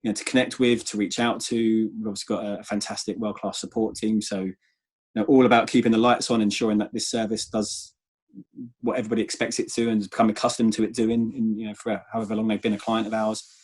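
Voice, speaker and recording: A man speaking as a guest in a conversational business interview, measured and often repeating words as he goes. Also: on the low side, little pitch movement, a little mellow, slightly rough. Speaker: interview guest, sales manager.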